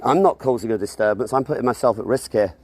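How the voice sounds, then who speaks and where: A man with a loud, sarcastic, argumentative manner, livestreaming a confrontation outdoors on his phone. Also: mid-range voice, fast, breathy edge. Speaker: street livestreamer and counter-protester. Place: outdoors near school grounds.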